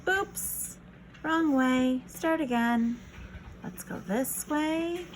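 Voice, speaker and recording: A woman's voice: high voice, extremely slow, a little hiss or hum, poor audio. A woman reading a picture book aloud to young children in an encouraging, instructional manner. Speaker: children's read-aloud teacher.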